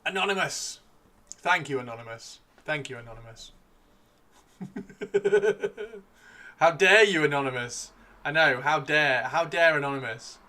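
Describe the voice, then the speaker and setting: Bright-voiced man hosting, voice wearing thin. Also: fairly high pitch, a little slow, long pauses. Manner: excitable, repeats phrases. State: losing his voice. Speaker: livestream host. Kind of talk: casual live stream chat while painting miniatures.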